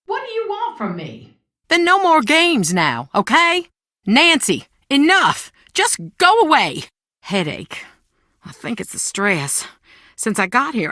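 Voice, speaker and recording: Game role: middle-aged mother, proud and anxious, defensive, lively swooping delivery, close dry sound. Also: on the high side, no room sound, heavily acted. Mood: agitated, then uneasy, weary. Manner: defensive, theatrical, emotionally expressive. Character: proud, anxious Southern mother guarding family secrets. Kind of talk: video game voice acting.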